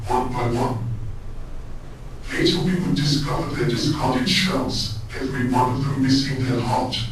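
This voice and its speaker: A man voicing a solemn, worried clockwork automaton in a video game, speaking in grave, measured phrases. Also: medium pitch, lightly acted. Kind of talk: video game character dialogue. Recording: echoey, distant, faint background noise.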